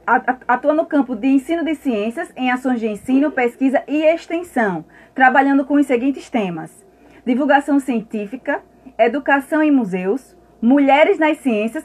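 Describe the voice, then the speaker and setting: A woman with a Brazilian accent hosting an informal academic live stream, chatting directly to viewers and at times reading aloud. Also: high-pitched.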